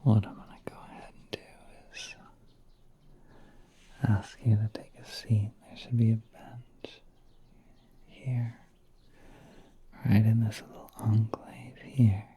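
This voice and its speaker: A man voicing a gentle, courteous spider prince in a soft, breathy, reassuring whisper for an ASMR roleplay. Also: on the low side, little pitch movement. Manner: soft, unhurried, reassuring, hesitant pauses.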